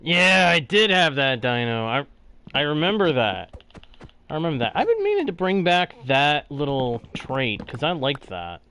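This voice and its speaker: A man's voice: a little high, very animated, moderate pace, pushed and bright, smooth, no echo. A man hosting a gaming stream, thinking aloud in a rambling, casual way, repeating himself and using mild folksy exclamations. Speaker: game streamer hosting a let's play.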